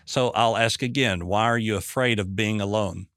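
A man coaching a guest in conversation, measured and probing, repeating his questions. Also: fairly low pitch, a bit bright, clear, resonant tone, no room sound, clean studio sound.

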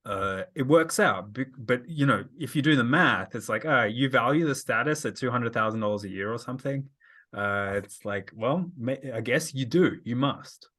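A man's voice: medium pitch, lively intonation, moderate pace, a bit bright. A man hosting a casual podcast conversation, rambling with lots of fillers and restarts. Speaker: podcast host.

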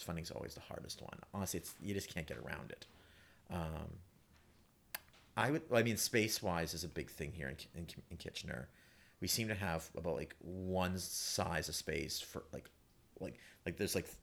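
A man speaking as a guest in a casual panel discussion, halting and repeating words, with emphatic turns. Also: deep voice, vocal fry, a bit bright.

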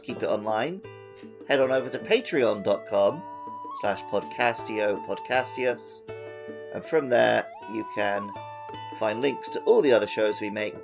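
A man's voice: lowish voice, gravelly. A man hosting a podcast in a casual, chatty way. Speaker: podcast host.

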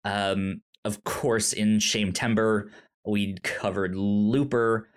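A man co-hosting a podcast in casual chat, halting and stammering over repeated words. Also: fairly low pitch, steady tone, smooth. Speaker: podcast co-host. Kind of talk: casual chat about shows and films.

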